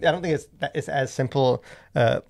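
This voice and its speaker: A man in his thirties co-hosting a casual podcast, talking in a halting, wordy way as he thinks aloud, close on a studio mic. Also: medium pitch, vocal fry, darkish tone, a little hiss or hum. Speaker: podcast co-host. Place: small indoor podcast studio. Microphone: close boom-arm studio microphone.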